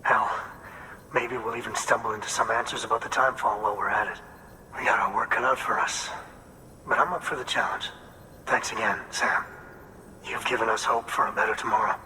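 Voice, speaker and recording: In a long reverb with faint noise, a game's farm leader with a very bright, forceful voice. He sounds earnest, folksy and full of hope. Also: on the low side, steady tone, a little fast. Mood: grateful, hopeful. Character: hardworking male farm leader, grateful, earnest, hopeful. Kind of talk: video game acting.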